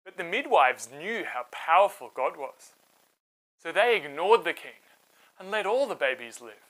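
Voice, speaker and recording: Animated male storyteller with a bright voice. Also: fairly high pitch, unhurried, poor audio. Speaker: storyteller, host. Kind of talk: scripted narration for children.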